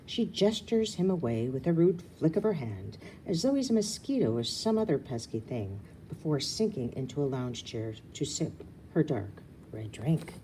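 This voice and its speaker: Dark, mellow low-voiced woman reading aloud. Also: slight background noise, poor audio. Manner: breaks off for casual asides and explanations. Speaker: book reader. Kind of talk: expressive children's story reading.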